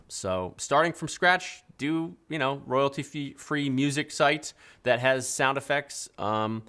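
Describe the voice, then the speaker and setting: A man's voice: mid-range voice, fairly bright tone. A man in his thirties hosting a live call-in stream from a dim studio desk, talking loosely with fillers and restarts. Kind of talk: casual live-stream chat.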